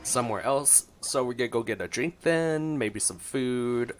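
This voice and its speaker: A man's voice: mid-range voice, lively intonation, measured pace. A man doing casual reaction commentary as a gaming streamer.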